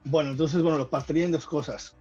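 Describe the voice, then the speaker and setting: A man's voice: fairly high pitch, fairly even intonation, fast talker, mellow, breathy edge, dull audio, soft background music. A man from Mexico with a Mexican accent, talking casually as an interview guest, with many restarts and fillers. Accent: Mexican Spanish. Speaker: guest, traveling football fan.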